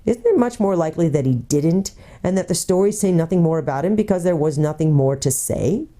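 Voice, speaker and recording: A woman's voice: on the low side, expressive, a little fast, mellow, natural voice. A woman voicing a bitter, defiant male dwarf in a storybook, argumentative and sour, with a snapping, sneering manner.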